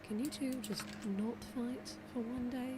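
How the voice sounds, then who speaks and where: A woman's voice: on the high side, steady tone, a little slow, heavy background noise, close to an everyday voice. A woman acting a peacemaking teammate in a fantasy audio drama. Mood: exasperated. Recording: loud score music over drama dialogue.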